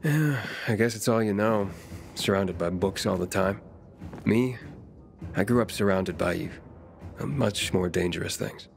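Deep-voiced, guarded young man. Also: measured pace, vocal fry, faint music behind. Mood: irritated. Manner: blunt, casual. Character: guarded, cynical young male wanderer survivor. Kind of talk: video game cutscene dialogue.

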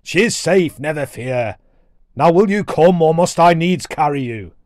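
Man putting on a brash dwarf warrior, booming, loud, archaic speech, storybook fantasy, dry close sound. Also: lively intonation, measured pace, no echo. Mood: gruff, impatient. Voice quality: deep, booming. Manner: archaic, grandly declaimed speech. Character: boisterous, bellowing Viking dwarf prince, brash warrior.

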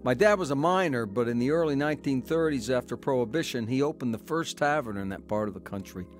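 An actor playing a tough, cocky American tavern owner in a radio drama, blunt and wisecracking. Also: male voice, medium pitch, expressive, fast, faint music behind, close to an everyday voice. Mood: reminiscing.

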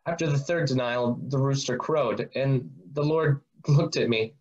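A man playing a remorseful, impulsive disciple in an amateur dramatic reading, speaking in a confessional, sometimes halting way. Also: medium pitch, darkish tone, close to an everyday voice. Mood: ashamed. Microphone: video call. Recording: muffled video-call audio.

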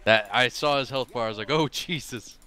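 A man's voice: medium pitch, a little fast, sharp tone. A man in casual, sweary chat with teammates while playing a video game. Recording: noisy game audio behind voice. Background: video game battle sounds.

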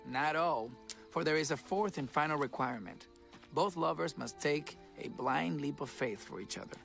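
An actor voicing a naive, earnest young fairy-tale prince with a dramatic, boyish manner in an animated comedy film. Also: male voice, on the high side, normal speed, vocal fry, faint music behind. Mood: solemn, theatrical. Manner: dramatic, self-serious, boyish.

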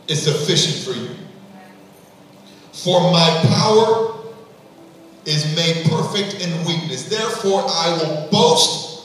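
A male pastor preaching a sermon on a large auditorium stage, emphatic, swinging between hushed and loud, and speaking straight to the church. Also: on the high side, slight background noise. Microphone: room sound from a far camera. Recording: echoey hall, heard from far off.

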